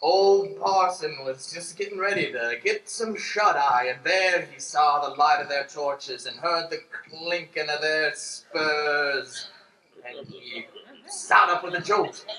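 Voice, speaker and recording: Male narrator for a live Western radio play: high-pitched, folksy, dramatic, roomy stage sound. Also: slow pace, bright, forceful tone. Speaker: narrator in an improv show. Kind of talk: theatrical improvised Western radio-serial narration. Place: live improv show on a stage. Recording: echoey stage.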